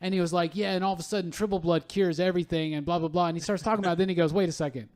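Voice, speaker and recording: A man hosting a casual podcast chat, telling stories in an animated, quote-filled way. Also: sits high, very fast. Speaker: podcast host.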